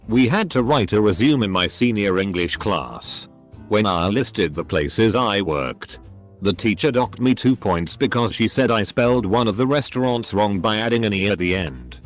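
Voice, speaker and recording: A man narrating a string of short posts in a scripted reading, saying letters and punctuation out literally. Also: lowish voice. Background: faint background music.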